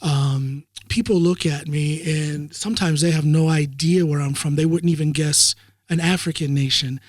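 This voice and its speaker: A man's voice: fairly high pitch, fairly even intonation, moderate pace, no room sound, studio quality. A man from the United States hosting an interview podcast, chatting casually and often stammering over his first words. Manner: friendly, repeats words when starting. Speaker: podcast host.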